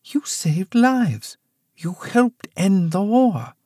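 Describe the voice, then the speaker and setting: A man's voice: sits high, very animated, drawn-out words, dark tone, no echo, studio quality, voice pushed up, exaggerated voice. A man voicing a shaken adult daughter who speaks urgently and pleadingly, in a storybook reading.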